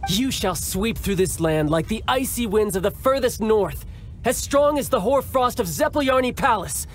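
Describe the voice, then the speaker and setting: A man's voice: high voice, animated, normal speed. A man voicing a cocky, playful young commander in a game cutscene, confident in speeches and fumbling his cover story, with light music behind. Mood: commanding. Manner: confident speechmaking with awkward improvised cover stories. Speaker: young military commander posing as a toy seller. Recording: soft game music underneath.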